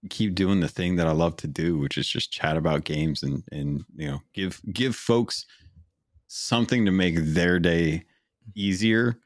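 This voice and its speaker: A man's voice: deep voice, unhurried, dry recording, clean studio sound. A man co-hosting a gaming podcast, chatty and eager, with lots of fillers as he recommends games. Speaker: podcast co-host. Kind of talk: casual podcast chat.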